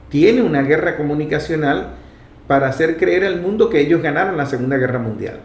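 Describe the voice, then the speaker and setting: A man's voice: mid-range voice, a little mellow. Venezuelan man commentating in a roomy echo. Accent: Venezuelan Spanish. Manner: emphatic, didactic, repeats key phrases. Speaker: radio program host and commentator. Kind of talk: political commentary monologue. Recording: roomy echo, faint background noise.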